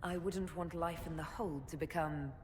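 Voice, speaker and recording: An actress playing a composed, dryly witty heroine who commands an order in a fantasy video game. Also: female voice, low voice, steady tone, noisy background, natural voice. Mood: dry, commanding. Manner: measured, dry, authoritative. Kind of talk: video game dialogue acting.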